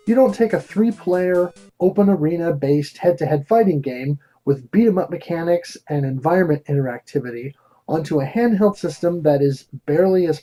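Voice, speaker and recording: A man's voice: fairly high pitch, warm tone. A man hosting a retro video game review, reading scripted narration in a theatrical, wordy and wry manner.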